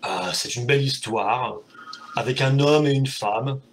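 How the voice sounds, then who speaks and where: A man's voice: mid-range voice, a little slow, slight background noise. A man hosting a casual live stream from home, rambling and self-mocking with many fillers, his keyboard audible on the desk mic. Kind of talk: casual live chat with viewers. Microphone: desk mic, typing audible.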